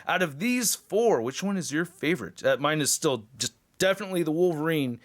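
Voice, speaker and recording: A man's voice: fairly high pitch, animated, fairly quick, no echo. A man giving a casual, chatty, opinionated hands-on review of action figures as the host of a toy channel.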